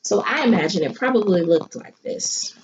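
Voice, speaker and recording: A woman schoolteacher reading a children's picture book aloud expressively, pausing to think aloud and put questions to young listeners. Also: mid-range voice, lively intonation. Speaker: elementary school teacher. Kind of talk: animated children's picture-book read-aloud with teaching asides. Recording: muffled audio with clear background noise.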